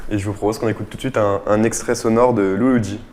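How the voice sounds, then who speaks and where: A man's voice: lowish voice, fairly even intonation, fast. A man hosting a radio interview, introducing segments and putting questions to a guest. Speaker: radio host.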